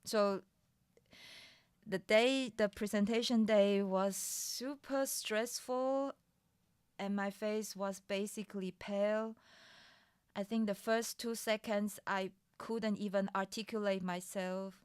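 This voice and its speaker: Lady whose first language is mandarin, casual chat, unhurried, keeps restarting, clean dry mic. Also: mid-range voice, steady tone, clear, resonant tone, no echo. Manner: unhurried and candid, with frequent restarts and light laughs. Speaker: streamer sharing her startup funding experience.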